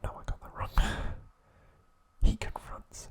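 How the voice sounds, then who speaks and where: Slow whispering man, ASMR style. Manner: hushed, deliberate, in short separated phrases. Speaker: ASMR host. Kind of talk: whispered ASMR trivia narration.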